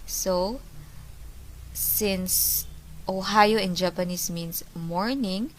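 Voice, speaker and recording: A woman's voice: mid-range voice, very slow, a little hiss or hum, rough recording. A young woman student giving a class report, explaining each point again with simple examples. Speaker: student presenter.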